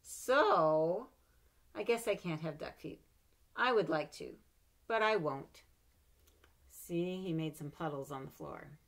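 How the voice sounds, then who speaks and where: A middle-aged woman reads a children's picture book aloud in a lower voice, animated and playful. She adds chatty asides between the pages. The sound comes from a webcam in a room at home. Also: slow, vocal fry, long pauses. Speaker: storybook reader. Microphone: webcam at desk.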